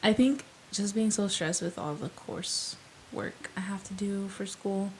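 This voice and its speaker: A woman's voice: mid-range voice, steady tone, a little slow, a little hiss or hum. A young adult woman co-hosting a podcast in casual chat, talking with plenty of fillers and easy laughs. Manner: chatty, laughs easily, fillers like "like" and "um". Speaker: podcast co-host.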